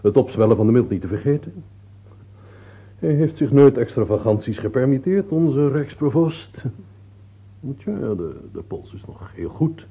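Husky coolly rational royal physician. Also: male voice, mid-range voice, very animated, a little slow, full character voice. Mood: clinical, deferential. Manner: clinical, deferential, philosophizing. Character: rational, unsentimental royal physician, male, courteous to king. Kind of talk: radio play acting. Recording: muffled narrow-band old radio recording.

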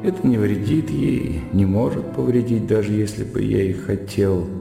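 An actor playing a brooding, serious young intellectual thinking aloud in a radio drama, musing and introspective. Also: male voice, lowish voice, normal speed, gravelly, over music. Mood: doubtful, musing. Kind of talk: radio drama inner monologue. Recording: echoey reverberant inner-voice effect.

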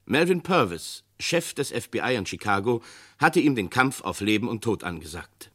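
A male narrator of a vintage radio play with a slightly low voice. He speaks gravely and evenly, setting out each line with care. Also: sing-song, a bit bright. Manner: grave, measured. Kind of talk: scripted narration.

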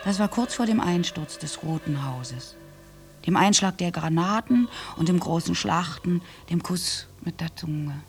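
An actress playing a bitter, war-scarred orphaned young woman in a radio play monologue, halting and intense. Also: on the low side. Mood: haunted, grim. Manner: halting, intense, dropping into breathy whispers. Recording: music behind the voice. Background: music.

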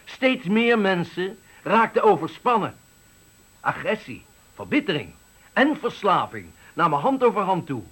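An actor playing an embittered, eloquent factory worker of sixty-five, giving a dramatic, deliberate farewell speech in a radio play. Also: male voice, sits high, very animated, a bit bright, husky, heavily acted. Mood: indignant, impassioned. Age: 60s. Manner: oratorical, dramatic, deliberate phrasing. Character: embittered eloquent 65-year-old male factory worker at his retirement.

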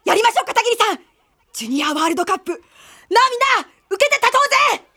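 A woman voicing a spirited, determined boy soccer player in a cartoon, earnest and energetic. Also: very high-pitched, fast, exaggerated voice. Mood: fired up. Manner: earnest, energetic, shouting to teammates. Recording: music behind the voice.

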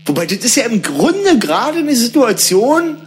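A man's voice: very high-pitched, sing-song, normal speed, breathy edge. A man chatting casually and argumentatively, needling the people he talks with. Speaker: radio show participant. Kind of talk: casual bantering conversation.

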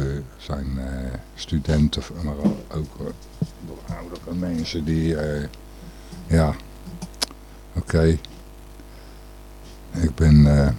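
A man hosting a radio show, talking off the cuff about the news in a halting, opinionated way. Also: a bass voice, dark tone, a little hiss or hum. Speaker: radio host. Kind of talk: unscripted radio talk on current news.

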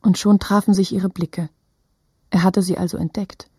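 Intense radio play narrator, dark husky voice, medium pitch, deliberate and suspenseful. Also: female voice, flat delivery, no echo, studio quality. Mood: watchful. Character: intense female narrator shadowing a hunted woman's every step. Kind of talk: literary radio drama narration.

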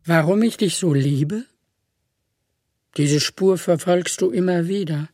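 A woman reciting lyrical poetry with measured, solemn phrasing. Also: on the low side, darkish tone, no echo. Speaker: poetry reader. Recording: dry studio recording over music. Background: music.

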